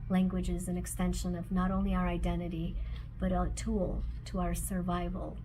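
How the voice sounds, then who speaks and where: Measured, reflective woman author. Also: fairly low pitch, little pitch movement, background noise. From former Soviet Union. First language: Russian. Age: adult with children. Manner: measured, phrase by phrase with pauses. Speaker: author and speaker. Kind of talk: reflective personal talk.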